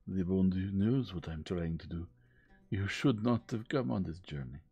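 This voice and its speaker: A man's voice: deep voice, moderate pace, dark tone, husky, highs cut off, soft background music. A man voicing a vain, precise middle-aged detective with a French accent who slips in French phrases. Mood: wry.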